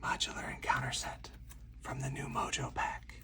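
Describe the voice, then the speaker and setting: Whispered voice, breathy, slow and deliberate, ASMR tabletop playthrough, slightly distant microphone. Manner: slow, deliberate, hushed delivery. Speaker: solo card game player and host. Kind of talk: ASMR whispered card game playthrough with commentary.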